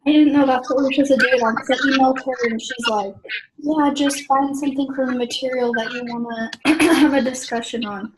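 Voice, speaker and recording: A young American woman casually facilitating a small-group discussion, often clearing her throat. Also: high-pitched, steady tone, a little fast, background noise. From Idaho, United States. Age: young adult.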